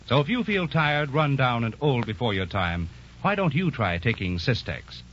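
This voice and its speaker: A man's voice: medium pitch, very animated, normal speed, fairly bright tone, slight background noise. A man reading a 1940s radio commercial as announcer, earnest, persuasive and carefully enunciated. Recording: muffled, humming 1940s radio recording.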